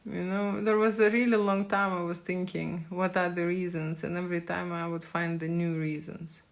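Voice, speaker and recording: A woman's voice: on the low side, flat delivery, normal speed, creaky. A woman with a Lithuanian accent, talking on a live stream and ending many phrases with "okay?". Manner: frequent "okay?" tag questions. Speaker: boudoir photographer. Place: studio in London.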